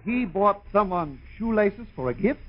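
Give me a soothing, stammering old-radio psychiatrist, highish and very sing-song, on a muffled recording. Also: male voice, big pitch swings, unhurried, noisy background. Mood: puzzled, coaxing. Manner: hushing, stammering, coaxing. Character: prominent psychiatrist soothing and questioning an excitable patient. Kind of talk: 1950s radio comedy acting.

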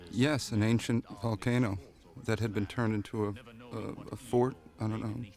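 A man's voice: on the low side, steady tone, normal speed, a little mellow. A man, an actor in the film, chatting in an easygoing way during a commentary. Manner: easygoing, chatty, laughs often. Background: film soundtrack music.

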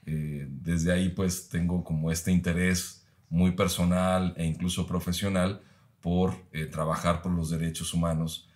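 A man, speaking as a podcast guest in a measured, reflective way with frequent pauses. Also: sits low, flat delivery, a little mellow. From Mexico. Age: 30s. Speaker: guest, university professor.

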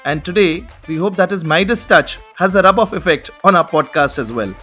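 A man's voice: high voice, fairly quick, bright, forceful tone, husky, faint music behind. A man hosting a podcast, giving polished introductions and guiding the conversation. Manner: polished, welcoming introductions with frequent "you know". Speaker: podcast host.

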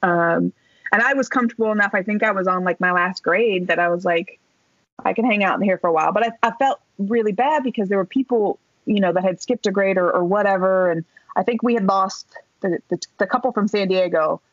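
A woman in her thirties tells a story in a loose, chatty way, full of fillers and the odd swear. Her voice sits at a middling pitch. The recording is muffled and dry, with no room sound. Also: brisk, no room sound. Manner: animated, chatty, many fillers and swear words. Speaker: podcast guest. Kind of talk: casual storytelling. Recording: dull dry podcast recording.

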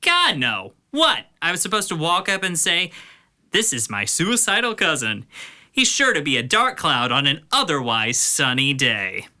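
A man's voice: fairly high pitch, sing-song, a little slow, sharp tone, full character voice. A man playing a resentful, sarcastic young son in an audio drama, sullen and grudging in manner. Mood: defensive, scornful.

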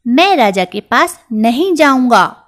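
Woman doing a shrill, cocky little mouse. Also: very animated, slow pace, exaggerated voice. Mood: boastful. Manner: shrill, cocky, threatening in sing-song rhymes. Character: boastful little male mouse, quick-tempered.

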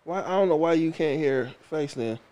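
A man with a slightly high voice hosts an amateur radio show with his friend. He swears freely and jokes in casual banter. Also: expressive, moderate pace, warm tone. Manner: loose, swearing, laughing, calls friends on air.

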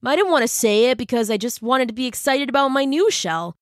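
A woman's voice: on the high side, expressive, a little fast, no echo, clean studio sound, pitched above the performer's own voice, lightly acted. A woman voicing a friendly, laid-back male hermit crab who talks in casual dude slang in a storybook. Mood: apologetic.

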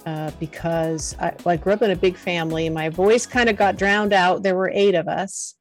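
A middle-aged woman, a podcast guest, telling her personal story in a soft, earnest voice. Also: fairly low pitch, fairly even intonation, moderate pace, soft background music. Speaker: podcast guest, confidence coach.

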